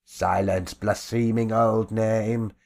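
A man's voice: very deep voice, little pitch movement, normal speed, vocal fry, breathy edge, exaggerated voice. A man voicing a cruel male leader of a street gang with a sneering manner. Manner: sneering, mocking.

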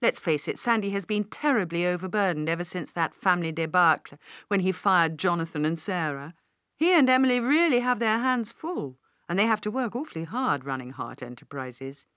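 A woman's voice: lowish voice, expressive, a little fast, a bit bright, dry recording. An eager younger executive, a magnate's son acted out by a woman reading a novel. He is insistent and persuasive. The recording is very dry.

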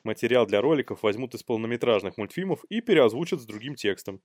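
A man's voice: medium pitch, animated, fast talker, a little mellow, no echo. Man chatting, dry close sound. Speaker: podcast co-host. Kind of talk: casual comic news chat.

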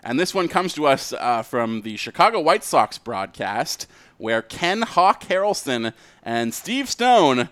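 A man's voice: medium pitch, sing-song, measured pace, fairly bright tone, no echo. A man co-hosting a podcast in casual sports chat, talking conversationally and swearing freely. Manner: profane, conversational. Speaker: podcast co-host.

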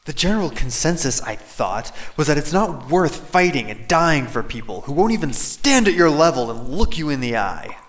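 An actor playing a nervous, deferential rebel underling in an audio drama, hesitant when speaking to a superior. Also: male voice, fairly high pitch, sing-song, normal speed, hardly any pauses. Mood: doubtful.

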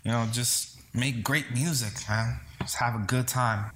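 A man's voice: medium pitch, fairly even intonation, unhurried, fairly bright tone, a little hiss or hum. A man from the Bronx, talking casually and earnestly in an interview, hesitating and repeating words. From Bronx, New York City, early childhood in the Dominican Republic. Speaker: interview guest, singer-songwriter.

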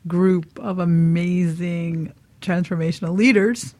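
A woman co-hosting a talk radio show in casual, reflective conversation, musing aloud in halting questions. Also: on the low side, fairly even intonation, dark tone.

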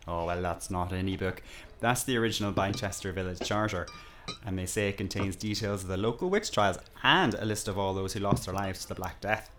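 A man acts out a village barkeep for an audio drama. His voice sits on the low side, and he talks in a folksy, wry way. He is chatty and politely nosy, always ready to tease. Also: a little fast, noisy background, natural voice. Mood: wry, confiding. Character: chatty, wry village pub landlord with a teasing streak.

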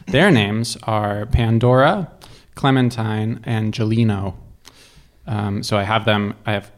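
Low-pitched man telling wry personal stories. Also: slow. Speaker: guest storyteller. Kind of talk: casual personal storytelling.

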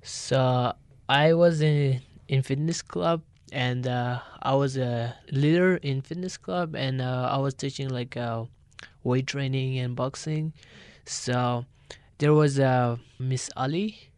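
Teenage man, English, halting, fillers, smooth clear voice, dry sound. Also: mid-range voice, a little mellow, dry recording. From Afghanistan. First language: not English. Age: teens. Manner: halting, many fillers, simple phrasing. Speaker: youth organizer guest. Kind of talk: radio interview.

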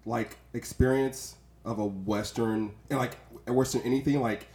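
Podcast co-host: man, dark mellow tone, casual film chat, noticeably roomy sound. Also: mid-range voice, fairly even intonation, moderate pace. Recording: roomy, echoey room sound.

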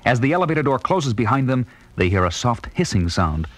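A man narrating a scripted audio drama in measured storytelling sentences. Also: medium pitch, big pitch swings. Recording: faint noise, clicks, old record.

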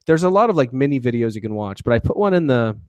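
A man's voice: medium pitch, very animated, fast, a little mellow, muffled recording. A man co-hosting a casual chat about a video he is watching. He laughs often and rambles in an amused way. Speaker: co-host.

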